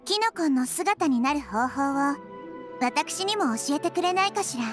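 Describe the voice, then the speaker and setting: High girlish little nature goddess. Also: expressive, moderate pace. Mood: thoughtful. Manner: composed, refined feminine phrasing. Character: childlike little nature goddess, kind, wise and composed. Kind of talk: Japanese anime game voice acting. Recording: game music behind.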